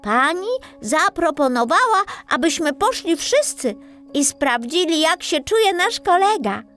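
A woman voicing a sweet, cheerful little star girl telling bedtime stories in an animated, childlike way for a children's audiobook. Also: very high voice, unhurried, exaggerated voice. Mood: storytelling. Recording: music behind the voice.

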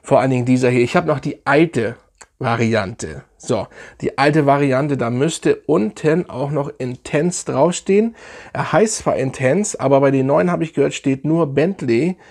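A man in his thirties sits in his living room and reviews fragrances in a casual, chatty way. His voice is a little hoarse from a sore throat, and he lingers on the words he wants to stress. He sounds keen and talks straight to the camera. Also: medium pitch, darkish tone. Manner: enthusiastic, chatty, drawn-out emphatic phrases. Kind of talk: casual product review vlog. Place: living room, seated indoors.

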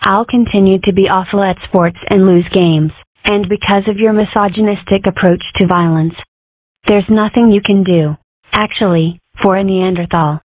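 Woman as stilted, wry comic-strip fox, medium pitch, narrow phone-like recording. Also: steady tone, moderate pace, dry recording, natural voice. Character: wry young fox girl and her fox mother. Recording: muffled, narrow phone-like sound, close and dry.

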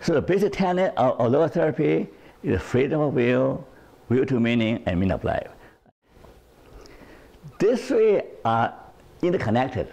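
Older man, lecture, gravelly voice, mellow tone, lapel mic, repeats words. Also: mid-range voice, normal speed, long pauses. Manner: animated, gesturing widely, repeats words and asks "right?". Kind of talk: lecture to a live group. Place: living room at home.

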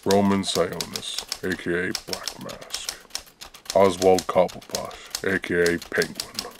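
A man announcing scripted radio drama captions in flat, clipped phrases, with typewriter clatter behind. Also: low-pitched, slow, noisy background.

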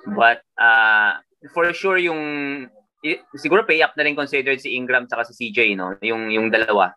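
A man's voice: medium pitch, normal speed, pushed and bright, clean tone, low-quality recording. A young Filipino man co-hosting a fantasy basketball show over a video call, chatting casually and mixing Tagalog with English. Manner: switches between Tagalog and English, thinking aloud. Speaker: fantasy basketball podcast co-host. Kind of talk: casual chat.

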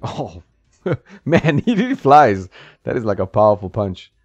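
Guy chuckling thru a retro fighter, low voice that jumps around a lot, dull dry sound, faint game music. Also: big pitch swings, a little slow, darkish tone, slightly rough, no echo. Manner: reactive, chuckles often, talks to the game. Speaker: retro game video host. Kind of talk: casual gameplay commentary.

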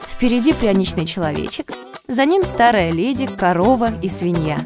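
A woman's voice: mid-range voice, lively intonation, normal speed, music in the background. A woman giving scripted narration of a children's fairy tale.

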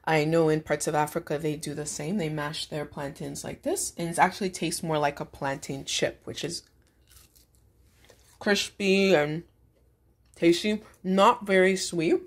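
Female home-cooking host, low-pitched, casual and explanatory.

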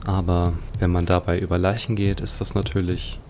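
A man's voice: low-pitched, little pitch movement, moderate pace, darkish tone, a little hiss or hum. A man hosting a let's play with casual, musing commentary and wry understatement. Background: game music.

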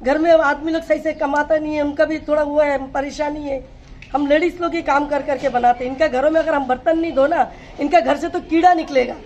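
A woman's voice: high voice, steady tone, fairly quick, a little mellow, muffled recording, slight background noise. A woman in her fifties, a local resident, interviewed in the street, complaining in a distressed, pleading way. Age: 50s. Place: street outdoors.

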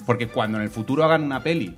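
A man, co-hosting a comedy podcast with jokey, repetitive banter on a small bar stage, talking into a boom mic. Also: rapid-fire, faint music behind. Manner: jokey, animated, repeats phrases. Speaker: co-host. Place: small dark bar stage with live audience. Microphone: desk mic on boom arm.

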